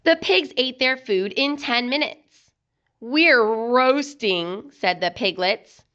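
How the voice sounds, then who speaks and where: A woman reading a children's book aloud in an expressive, deliberate storytelling style. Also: fairly high pitch, dull audio.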